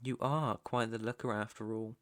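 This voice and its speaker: A British man playing a controlling, possessive young mafia heir in an ASMR roleplay, deliberate and commanding, with menace under the coaxing. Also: mid-range voice, fairly even intonation, fairly bright tone, close to an everyday voice. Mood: smug, coaxing. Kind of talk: ASMR roleplay, second-person dramatic acting.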